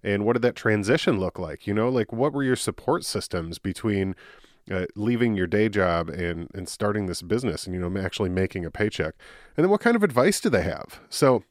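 A man hosting a podcast, talking in a chatty, animated way with plenty of fillers as he interviews a guest. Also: on the low side, brisk, dry recording, clean studio sound. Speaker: podcast host. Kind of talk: casual interview.

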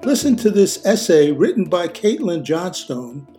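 A man's voice: high-pitched, sing-song, a little slow, music in the background. An older American man reading a scripted podcast introduction as its host.

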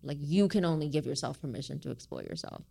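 A woman with a low-pitched voice talks as a guest in a casual, reflective conversation. She sounds thoughtful and informal, slipping easily into slang. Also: animated, quick pace, creaky, warm tone. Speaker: guest author. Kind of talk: casual reflective interview talk.

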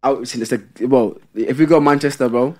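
A man's voice: mid-range voice, fast, a little mellow. A young man, a podcast co-host chatting casually, slangy and constantly saying "bro". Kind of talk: casual chat.

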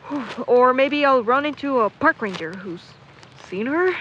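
A woman's voice: high-pitched, lively intonation, unhurried, fairly bright tone. A woman playing a pessimistic, sardonic young searcher in an audio drama, skeptical and natural in delivery. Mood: winded, reflective. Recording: muffled, with clear background noise.

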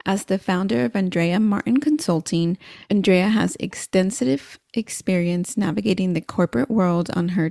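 Gravelly-voiced woman podcast host. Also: fairly low pitch, mellow, no echo, clean studio sound. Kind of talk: casual podcast interviewing.

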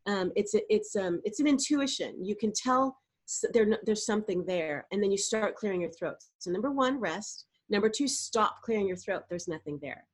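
A middle-aged woman, a voice coach, giving animated, explanatory answers in a podcast interview and sometimes demonstrating vocal exercises. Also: medium pitch, moderate pace.

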